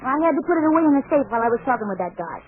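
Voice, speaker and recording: High-voiced, plucky young American heroine. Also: female voice, big pitch swings, very fast. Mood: flustered. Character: plucky, quick-witted young woman innkeeper. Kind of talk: 1940s radio comedy acting. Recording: noisy narrow-band 1940s radio recording.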